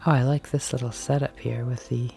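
A woman talks her way through a big indoor antique shop, chatting and thinking aloud as she films on a handheld camera. Her voice is mellow and warm, and she sometimes drops to a near whisper. Faint music plays behind her. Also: extremely low pitch, steady tone, brisk, gravelly. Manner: chatty, musing aloud, drifting into soft whispers. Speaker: vlogger browsing a shop. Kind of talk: casual walk-and-talk commentary. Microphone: handheld camera mic, filming as she walks.

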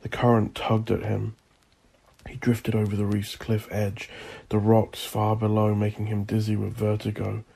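A man narrating a horror story as an audiobook narrator, intense and theatrical, with a gravelly, husky voice. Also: fairly low pitch, completely flat delivery, moderate pace, mellow. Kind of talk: dramatic scripted horror narration.

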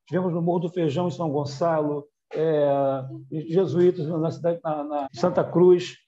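Casting: male community leader, Rio accent, high mellow voice, halting speech-giving over lo-fi call. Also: steady tone. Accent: Rio de Janeiro. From Rio de Janeiro, Brazil. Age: middle-aged. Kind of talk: thank-you speech on a video call. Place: small room on a video call. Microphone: wired earphone mic. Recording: dull, lo-fi video call audio.

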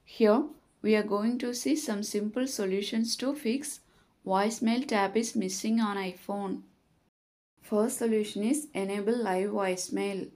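A woman narrates a scripted tutorial with a medium-pitched voice. Her delivery is very slow and measured, setting out each instruction step by step. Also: darkish tone.

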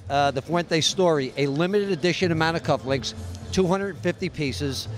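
A man with a somewhat high voice answers slowly and in a halting way, pausing as he explains a limited product. He stands at a booth in a large indoor convention hall. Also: soft background music. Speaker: cigar company representative.

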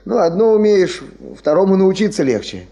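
An actor playing an experienced, good-natured male field hand in a 1970s radio drama, folksy and unhurried. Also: high-pitched, very animated, dark tone. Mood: good-natured, practical.

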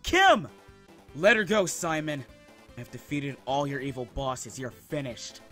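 A man voicing an awkward, excitable and cocky teenage slacker hero in a comic dub. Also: medium pitch, measured pace, soft background music. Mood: heroic, shouting.

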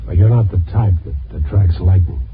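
A man's voice: sits low, brisk, natural voice. Guy acting as a hardboiled american newspaperman, blunt and world-weary, old thin radio sound with music. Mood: dry, blunt. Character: tough, world-weary male newspaper reporter. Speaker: lead actor and narrator. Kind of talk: 1950s radio drama acting. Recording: tinny old 1950s radio recording, music.

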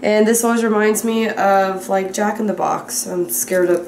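A woman's voice: medium pitch, fairly even intonation, moderate pace, darkish tone. A young woman hosting a casual home cooking vlog, bubbly and a bit dramatic as she narrates each step in her kitchen. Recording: echoey room with faint ambience.

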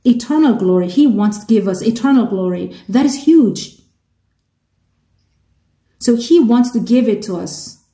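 A woman with a Korean accent interpreting a sermon into English, measured and halting, with restarts. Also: a little high, expressive, mellow, reverberant.

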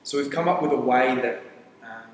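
A man's voice: medium pitch, a little hiss or hum. A young man who grew up in Papua New Guinea, speaking Tok Pisin as his first language, casually explaining his startup in an interview and rambling through lists with frequent restarts. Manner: rambling, restarts phrases, lists ideas rapidly. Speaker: startup founder. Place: studio set with armchairs. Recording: echoey room with long reverb.

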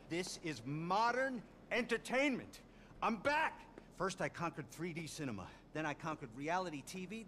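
An actor playing a manic, vain middle-aged show producer who gushes and rambles in a video game. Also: male voice, high-pitched, a bit bright, slight background noise. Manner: gushing, rambling, sudden swoops.

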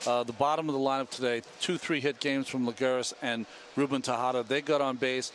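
Male studio analyst, headset, measured game breakdown. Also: mid-range voice, steady tone, fairly bright tone, slight background noise. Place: TV studio booth.

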